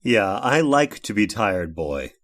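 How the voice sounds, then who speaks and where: An actor playing a nervous, defensive man in an old-time radio horror drama, speaking haltingly and emphatically as if making a confession. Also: lowish voice, very animated. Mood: uneasy, exasperated. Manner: halting, emphatic, rhetorical questioning.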